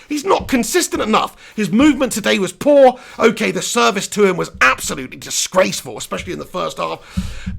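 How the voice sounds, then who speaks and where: A man hosting a live post-match reaction, hoarse from losing his voice, ranting in an animated, exasperated way. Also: high-pitched. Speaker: football fan channel host.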